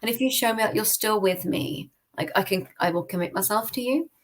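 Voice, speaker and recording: A woman's voice: mid-range voice, fairly quick. British woman sharing informal personal testimony. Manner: informal, peppers speech with "like". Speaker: podcast guest.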